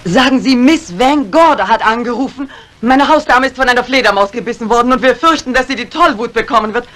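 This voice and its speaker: A woman's voice: fairly high pitch, a bit bright, lightly acted. Resolute lady mystery writer played by an actress. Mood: urgent, insistent. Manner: brisk, commanding. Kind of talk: 1950s German dubbed thriller acting. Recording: faintly noisy vintage soundtrack.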